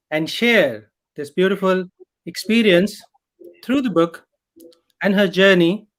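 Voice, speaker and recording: A man hosting a program, introducing a guest author in a slow, earnest, admiring way. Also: sits high. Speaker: host.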